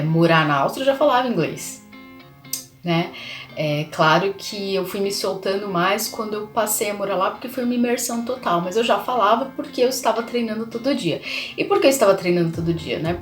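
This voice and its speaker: A woman's voice: medium pitch, roomy sound. A young woman from Brazil talking casually to her viewers as a language channel host, chatty and full of fillers and tag questions. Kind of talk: casual vlog talk to viewers.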